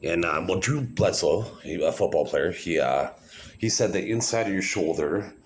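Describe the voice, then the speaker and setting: A man's voice: low voice, expressive, moderate pace. A middle-aged man rambling to the camera in a casual vlog, meandering with many fillers and restarts. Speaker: vlogger showing his own videos.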